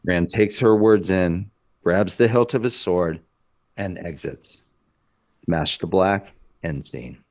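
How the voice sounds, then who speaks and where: Slow, low-voiced male narrator. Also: darkish tone. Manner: dramatic, deliberate. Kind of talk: scripted screenplay narration.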